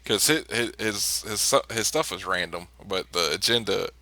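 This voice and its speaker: Deep, bright-voiced joking player. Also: male voice, animated, normal speed, dry recording. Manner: teasing, chuckling. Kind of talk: casual tabletop game chat. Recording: faint background noise.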